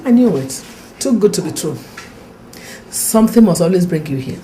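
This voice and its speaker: A woman's voice: lowish voice, animated, normal speed, warm tone, a little hiss or hum, natural voice, low-quality recording. An actress playing a knowing aunt who teases her niece. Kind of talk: film drama acting.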